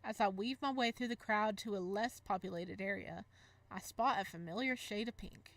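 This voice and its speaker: A woman hosting a gaming video, moving between expressive story narration and casual, animated commentary. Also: mid-range voice, moderate pace. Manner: animated, exclamatory asides. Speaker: gaming video host reading a visual novel.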